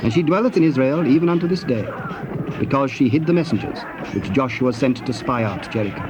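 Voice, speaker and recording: A man's voice: mid-range voice, animated, fairly quick. A man narrating dramatized scripture in a solemn, formal storytelling manner. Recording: dull, noisy old cassette tape.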